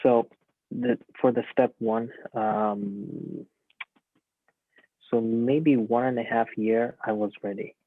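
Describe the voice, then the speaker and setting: Gravelly-voiced man on a tinny line. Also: mid-range voice, steady tone, slow, no echo. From Uzbekistan. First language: Russian. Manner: hesitant, many fillers. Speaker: guest physician. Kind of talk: casual interview answers. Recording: tinny phone-like call line, dry.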